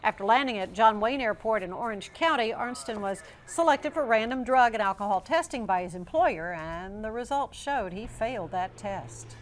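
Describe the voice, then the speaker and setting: A woman with a fairly high voice reads the news as a television anchor. Her delivery is scripted and structured, in the manner of a newscast. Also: lively intonation, normal speed, hardly any pauses.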